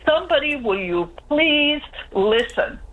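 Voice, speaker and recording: A woman's voice: high voice, expressive, unhurried. An older woman, calling in to a radio show and pouring out her frustration in long, pleading runs. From Newfoundland, Canada. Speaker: caller. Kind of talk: call-in radio complaint. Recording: tinny phone line.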